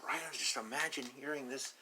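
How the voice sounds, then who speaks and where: A man's voice: medium pitch, fairly even intonation, fairly quick, background noise. A man reading a picture book aloud to young children, explaining simply with repeated asides. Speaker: storybook reader.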